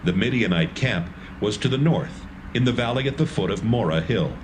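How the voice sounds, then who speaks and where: Low, expressive male narrator. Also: normal speed, noisy background. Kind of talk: scripted Bible narration. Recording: music underneath.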